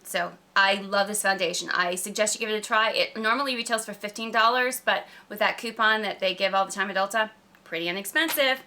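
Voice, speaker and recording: A woman with a slightly croaky voice from a cold, chatting casually and emphatically to camera as a gushing beauty vlogger. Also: medium pitch, brisk, sharp tone. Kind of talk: casual product review chat to camera.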